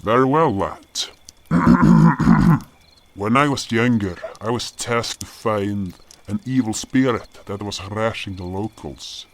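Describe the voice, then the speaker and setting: Gentle old guardian spirit played by a man, low register, measured and earnest fantasy storyteller, crickets and noise behind. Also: gravelly. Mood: solemn, wistful. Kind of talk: audio drama first-person narration and dialogue. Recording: noisy track with cricket ambience. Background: crickets and outdoor night ambience.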